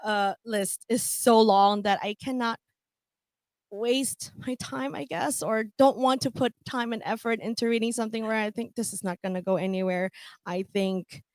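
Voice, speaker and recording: A woman's voice: fairly high pitch, fairly even intonation, moderate pace, no room sound. A woman whose first language is German, chatting candidly on a radio panel and laughing often. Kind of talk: casual radio chat.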